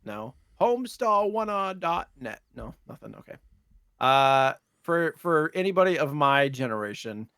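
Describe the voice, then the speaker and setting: A man in his thirties co-hosting a casual gaming chat podcast, talking in a halting way with trailing asides. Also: a little high, sing-song, vocal fry, fairly bright tone, smooth, no echo, studio quality. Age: 30s. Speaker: podcast co-host.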